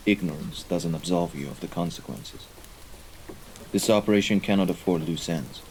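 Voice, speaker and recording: A man playing a composed, menacing mafia right-hand man in an audio roleplay, speaking in a formal, measured way. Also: deep voice, darkish tone, a little hiss or hum, natural voice, poor audio. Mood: coolly menacing. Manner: formal, measured, deliberate wording. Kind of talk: scripted audio roleplay acting. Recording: rain and ambience behind the voice.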